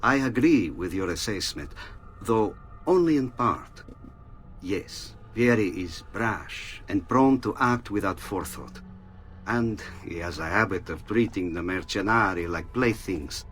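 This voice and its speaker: An actor playing a cautious Renaissance friar, reading a confidential letter in a formal, measured way for a video game. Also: male voice, lowish voice, animated, fairly bright tone, a little hiss or hum. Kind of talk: letter read aloud as game voice-over.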